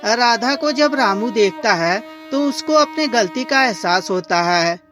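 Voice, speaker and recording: High-voiced woman narrating a story. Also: expressive, measured pace. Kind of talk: scripted moral-story narration. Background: background music.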